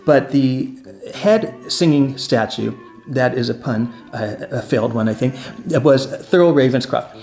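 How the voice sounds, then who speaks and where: A man's voice: medium pitch, measured pace, vocal fry, darkish tone, faint music behind. A man telling park history stories in a casual, conversational, enthusiastic way. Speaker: presenter and storyteller. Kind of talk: casual storytelling about theme park history.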